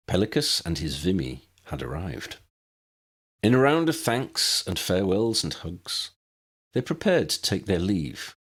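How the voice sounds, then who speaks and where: A man's voice: fairly low pitch, a bit bright. A man reading scripted fiction as an audiobook narrator.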